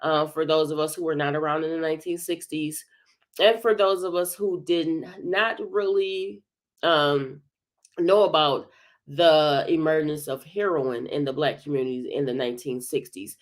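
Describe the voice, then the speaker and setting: A woman hosts a livestream commentary while stuffed up with a head cold, so her voice comes through congested. She talks hesitantly, filling her pauses with drawn-out sounds as she gathers her thoughts. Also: sits low, fairly even intonation. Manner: hesitant, many filled pauses. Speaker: commentary channel host.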